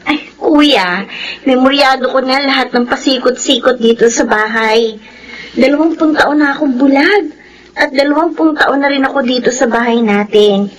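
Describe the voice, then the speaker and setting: A woman playing a cheerful, innocent young Filipina in a radio drama. She sounds girlish and inquisitive, very high in pitch, with slight noise behind her. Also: normal speed, lightly acted, rough recording.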